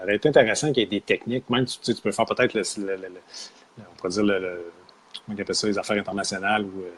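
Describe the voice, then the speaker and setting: Husky, quick-talking Québécois man. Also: fairly low pitch. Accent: Québécois French. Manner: hesitant, searching for words, many fillers. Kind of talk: casual discussion.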